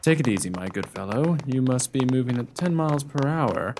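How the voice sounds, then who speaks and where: A man's voice: mid-range voice, expressive, fairly quick, noisy background, close to an everyday voice. Radio play: swaggering captain man actor, mid-range voice, sardonic and pompous, genteel period diction. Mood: dismissive, unhurried. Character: swaggering, sardonic, callous military captain suitor.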